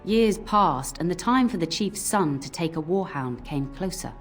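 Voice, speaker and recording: An actress playing an old nanny in a game, scolding but fond, given to telling cautionary tales. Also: female voice, on the low side, lively intonation, moderate pace, close to an everyday voice. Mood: solemn storytelling. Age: older. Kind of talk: scripted game voice acting, storytelling. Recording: music under the voice.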